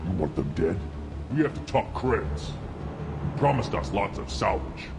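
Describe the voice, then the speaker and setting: An actor playing a mercenary man who haggles over pay, gruff and businesslike. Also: sits low, big pitch swings, unhurried, music in the background, full character voice. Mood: haggling. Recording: game score loud under the voice.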